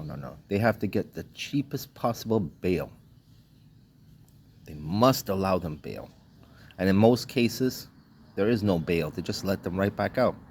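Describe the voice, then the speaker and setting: Deep-voiced man from Trinidad and Tobago, ranting. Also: a little mellow, a little hiss or hum. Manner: blunt, indignant, swears, short clipped sentences. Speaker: vlogger. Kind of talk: ranting monologue.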